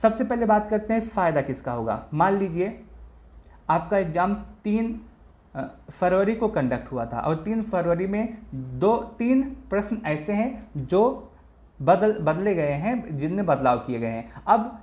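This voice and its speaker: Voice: medium pitch, sing-song, unhurried, a little mellow. A presenter explains things informally, addressing listeners directly and repeating points. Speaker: exam news presenter. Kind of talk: informal explainer on exam results. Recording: echoey room.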